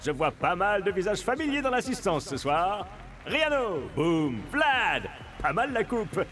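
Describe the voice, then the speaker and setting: A man's voice: sits high, big pitch swings, measured pace, a bit bright, exaggerated voice. A man voicing a showy, theatrical announcer in a cartoon. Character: showy male announcer hosting a gala. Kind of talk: animated cartoon show announcing. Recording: music behind.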